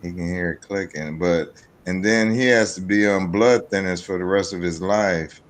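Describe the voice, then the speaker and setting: A man's voice: low voice, fairly even intonation, moderate pace. A man of fifty-eight talking casually and personally as a podcast guest.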